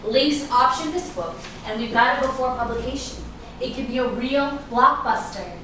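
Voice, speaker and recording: A woman's voice: high voice, moderate pace, a bit bright. A woman playing a worldly, reproachful actress in a theatrical staged reading, with a pointed delivery. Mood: persuasive. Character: worldly, wary actress, an old flame, reproachful. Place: indoor room at a live staged reading. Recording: echoey room, distant mic, noisy background hiss.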